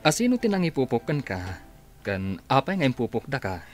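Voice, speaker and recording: A man's voice: mid-range voice, huge pitch swings, a little fast, faint music behind. Commanding policeman with swinging intonation. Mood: questioning. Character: questioning male police officer, businesslike and commanding. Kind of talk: radio drama acting.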